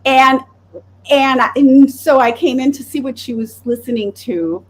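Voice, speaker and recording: Wisconsin woman host, somewhat high voice, chatty, animated storyteller. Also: unhurried. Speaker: podcast host. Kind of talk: casual chat.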